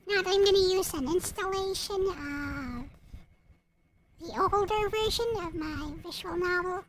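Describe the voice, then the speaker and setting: A woman chatting casually and haltingly, half to herself, as she narrates what she is doing on her computer. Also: very high voice. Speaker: visual novel creator narrating. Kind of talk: casual chat while searching computer files. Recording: faint background noise.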